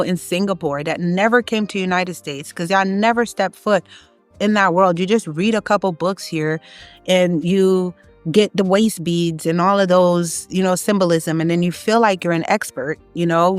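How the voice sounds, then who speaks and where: A woman from Haiti giving an emphatic, opinionated casual monologue, her voice climbing when she presses a point. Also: dry recording. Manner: emphatic, rising in pitch when making a point. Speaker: online content creator.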